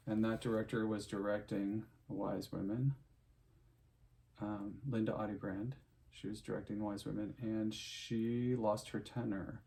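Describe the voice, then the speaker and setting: Gravelly-voiced man rambling to camera. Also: on the low side. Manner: chatty, full of fillers and restarts, warm sign-off advice. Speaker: opera singer. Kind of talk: casual rambling monologue to viewers.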